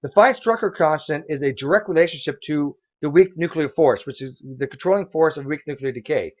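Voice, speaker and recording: A hoarse man with a somewhat high voice argues back fast, stumbling over restarts as he corrects his opponent. The sound is dull and lo-fi. He hosts a heated online debate. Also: animated. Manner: forceful, quick rebuttals with restarts. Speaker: debate host.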